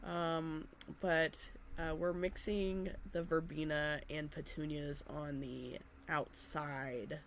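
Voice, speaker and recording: A woman narrating her gardening video in a gentle, unhurried way with frequent fillers, her voice slightly nasal from a cold. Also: low voice, level tone, a little hiss or hum. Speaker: gardening vlogger.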